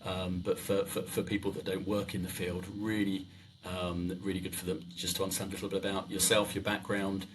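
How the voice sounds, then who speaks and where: A man with a very deep voice hosts a podcast, sounding enthusiastic while stumbling over ums and restarts. He sits a bit back from the mic, with faint noise behind him. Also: flat delivery, distant mic. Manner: enthusiastic, halting, many ums. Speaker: podcast host. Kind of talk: podcast interview.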